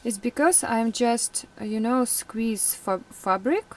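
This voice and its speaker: A young woman whose first language is Russian, chatting casually in a vlog, laughing often and pausing with fillers. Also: fairly high pitch, animated, low-quality recording. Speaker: vlogger.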